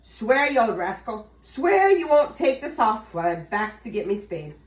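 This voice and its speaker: A boastful young farm lad squeaks with glee, voiced by a woman reading aloud. His voice jumps high and swings about wildly. It echoes in the room. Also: wildly swooping pitch, normal speed. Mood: triumphant. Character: clever, cocky young Irish farm lad.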